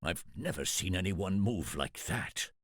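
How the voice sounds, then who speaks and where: An actor playing a grave, loyal and cautious samurai advisor with a husky voice and a solemn manner in a video game cutscene. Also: male voice, low voice, animated, a little slow, a bit bright, no echo, clean studio sound, full character voice.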